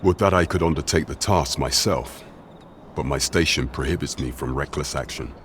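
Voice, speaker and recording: An actor playing a grave, courteous royal loyalist in a fantasy video game, speaking in formal, measured, archaic courtly phrases. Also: male voice, rumbling low, a little hiss or hum. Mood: grave, cautious. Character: grave, courteous male royal loyalist plotting against the regent.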